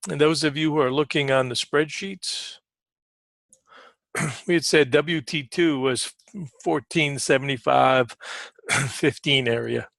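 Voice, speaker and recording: A man giving informal live market commentary as a trading coach, conversational and repetitive for emphasis, often clearing his throat. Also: mid-range voice, slow pace, pauses often, fairly bright tone, no room sound.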